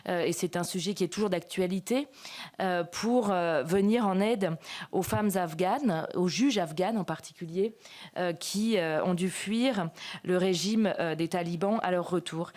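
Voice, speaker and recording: A French woman speaks at a conference, opening the session and introducing a guest. She pauses often, restarts her sentences and fills gaps with hesitation sounds. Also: on the low side, a little mellow. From France. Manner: hesitant, many fillers and restarts. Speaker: association president, moderator.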